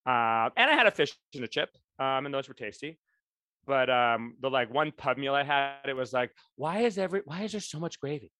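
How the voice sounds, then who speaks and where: A man's voice: mid-range voice, animated, fairly quick, pushed and bright, no echo, studio quality. Rambling, hesitant man. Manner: rambling, hesitant, many fillers and restarts. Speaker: podcast co-host. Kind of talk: casual chat.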